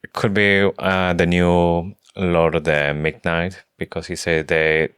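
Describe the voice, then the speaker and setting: A man with an extremely deep voice speaks as a podcast co-host, in a dry recording with no room sound. His English phrasing is non-native, and he talks casually as in a book discussion. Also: flat delivery, slow, a bit bright, clear, resonant tone, dry recording.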